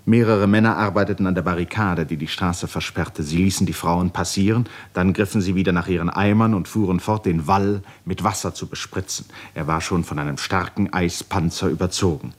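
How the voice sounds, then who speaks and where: A man narrating a literary radio drama in a measured, articulate storytelling manner. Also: sits low.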